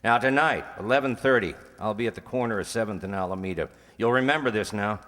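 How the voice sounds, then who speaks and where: An actor playing a confident, calculating drug dealer in a radio crime drama, deliberate and oilily polite. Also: male voice, on the low side, fairly bright tone, lightly acted. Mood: precise, controlled. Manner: deliberate, insistently precise, oily courtesy. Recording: reverberant hall.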